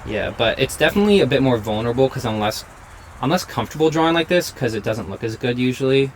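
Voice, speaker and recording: A man's voice: medium pitch, lively intonation, fast talker. A young man hosting a chat while he draws, talking casually and easily, with many "like"s. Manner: easygoing, fills his talk with "like". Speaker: host and portrait artist. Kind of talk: casual conversation while drawing. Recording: home recording with faint noise.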